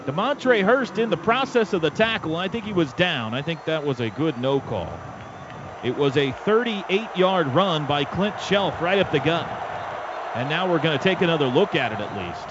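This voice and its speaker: A man's voice: fairly high pitch, sing-song, moderate pace, dull audio. American radio football play-by-play man, noisy broadcast. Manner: clipped present-tense calls in short bursts. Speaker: play-by-play announcer. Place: stadium radio booth. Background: clear outdoor stadium background noise.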